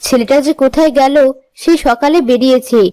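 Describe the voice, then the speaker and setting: A woman's voice: high voice, fairly quick, warm tone, lightly acted, rough recording. A woman playing a cruel, bossy village stepmother who nags and scolds in a cartoon fairy tale. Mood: scolding. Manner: harsh, nagging, bossy. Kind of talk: cartoon moral-story dialogue. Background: music.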